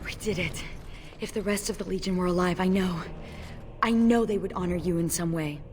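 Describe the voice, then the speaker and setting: A woman's voice: fairly low pitch, a little fast, noisy background, natural voice. A woman playing a stern, fatalistic dwarf warrior in a video game, speaking in an earnest, wry way. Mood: grave, thoughtful.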